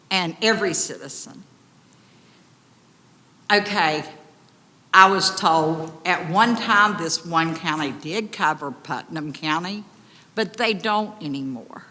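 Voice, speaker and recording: An upset woman with a big, loud voice, pleading urgently as a resident at a public meeting. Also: on the low side. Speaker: resident addressing county commissioners. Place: county commission meeting room. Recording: echoey hall, distant reverb.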